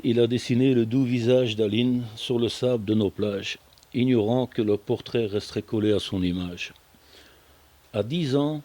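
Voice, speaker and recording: A man's voice: mid-range voice, warm tone. An older, retired man presenting a radio programme, reading scripted biographical narration with some casual banter. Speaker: radio show presenter who prepares the programme.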